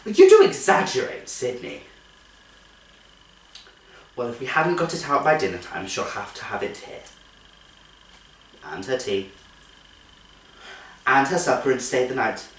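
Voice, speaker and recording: A genteel nursery teacher played by a man in a theatrical comic monologue. The delivery is animated and singsong, coaxing sweetly, then scolding with thinly held patience. It is recorded at a distance in an echoey room. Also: mid-range voice, unhurried, pauses often. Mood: firm, exasperated. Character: genteel, strained-cheerful female nursery teacher, patient but exasperated.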